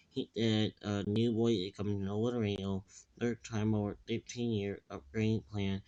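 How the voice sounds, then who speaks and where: A man giving rambling casual commentary in a halting, repetitive way with muddled wording. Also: a little mellow, clear, resonant tone. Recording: dull, echoey low-fidelity recording.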